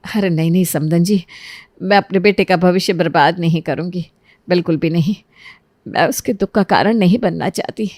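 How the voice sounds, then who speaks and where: A woman's voice: lowish voice, normal speed, a little mellow, lightly acted. A woman playing a devoted, self-sacrificing middle-aged mother in a Hindi cartoon story, speaking with earnest emotion. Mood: earnest protest.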